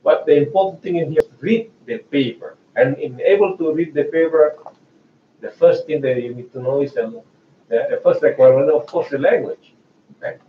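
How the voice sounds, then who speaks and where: An Indonesian-accented man in his forties lectures at a research seminar, chatty and prone to restarting his sentences. His voice sits a little high for a man. He is picked up from a distance in an echoey room, and the sound is muffled. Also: moderate pace, slight background noise. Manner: chatty, hesitant restarts, frequent laughs.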